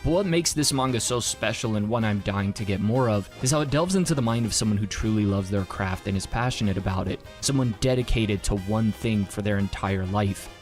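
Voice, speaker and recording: A man hosting a scripted video review, narrating with casual asides. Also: lowish voice. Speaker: manga reviewer, video host.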